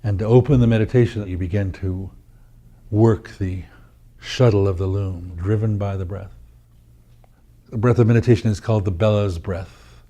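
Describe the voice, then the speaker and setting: Male meditation guide: lowish pitch, very mellow and dark tone, measured phrasing with deliberate pauses. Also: steady tone, muffled recording. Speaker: meditation teacher.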